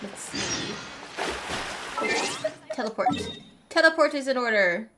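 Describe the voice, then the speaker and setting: A woman's voice: fairly high pitch, expressive, slow, noisy background. A lighthearted, enthusiastic woman giving casual gameplay commentary as a streamer. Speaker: game streamer. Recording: game music under the voice.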